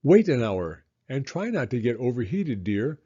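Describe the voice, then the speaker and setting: A man's voice: medium pitch, very animated, normal speed, mellow, slightly rough, no room sound, dull audio. A man voicing a fretful, caring mother in a children's storybook, calling out and fussing. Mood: anxious, caring.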